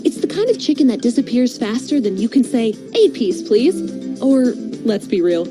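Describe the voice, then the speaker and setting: A woman's voice: fairly high pitch, very animated, normal speed, over music. A woman doing an upbeat scripted commercial voice-over. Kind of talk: scripted upbeat grocery ad read.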